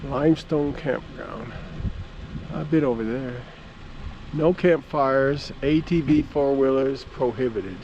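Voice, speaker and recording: A man's voice: mid-range voice, takes it slowly, a little mellow, muffled recording, a little hiss or hum. A man giving a casual, plain-spoken walk-around vlog outdoors, rambling along in a folksy way. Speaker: amateur radio vlogger. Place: outdoors at a campground.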